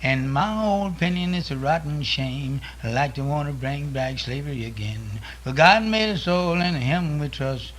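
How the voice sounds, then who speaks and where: A man's voice: a little high, normal speed, hardly any pauses, fairly bright tone, a little hiss or hum. A man performing emphatic verse with a repeated refrain.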